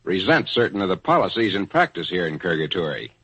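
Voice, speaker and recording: Male afterlife official: courteous, precise, deep husky voice, old-time radio drama. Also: sing-song, fast talker, pushed and bright, exaggerated voice. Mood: calm, explanatory. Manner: formal, precise, dryly explanatory. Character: courteous, unruffled male official guide in purgatory. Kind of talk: 1940s radio acting.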